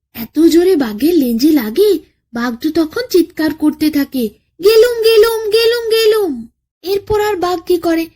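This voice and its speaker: A woman narrating a children's bedtime folk tale as a storyteller, in an expressive, dramatic way. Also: high-pitched.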